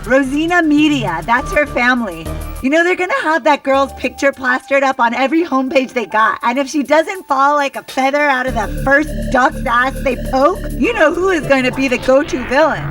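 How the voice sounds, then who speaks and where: A woman's voice: sits high, expressive, moderate pace, a bit bright, distant mic, soft background music. A woman playing an irate, folksy, foul-mouthed governor in an audio drama, ranting crudely.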